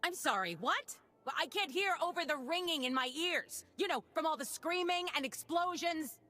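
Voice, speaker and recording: An actress playing a frazzled, sarcastic town mayor in a video game, with a blustering, folksy, exclaiming delivery. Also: female voice, extremely high pitch, expressive, moderate pace, sharp tone, heavily acted. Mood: indignant, frazzled. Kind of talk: video game character acting.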